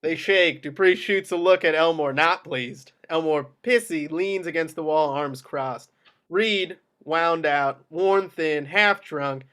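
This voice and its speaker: A man's voice: on the high side, takes it slowly, a bit bright, clear, resonant tone. A man narrating screenplay action in clipped descriptive phrases at an amateur table read. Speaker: narrator reading stage directions.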